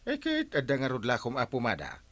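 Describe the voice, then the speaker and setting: An excitable village captain in an Ilocano radio comedy. The Filipino voice swoops widely, flattering and wheedling like someone forever short of money. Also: male voice, medium pitch, fast talker. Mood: excitable, curious. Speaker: radio drama actor. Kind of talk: broad radio comedy acting.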